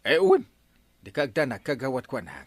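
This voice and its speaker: A man with an Ilocano accent playing an excitable, bossy village captain in a comic radio drama. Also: medium pitch, fairly quick, heavy background noise, lightly acted. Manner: animated, exclaiming, bossy. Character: excitable middle-aged male village captain. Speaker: barangay captain.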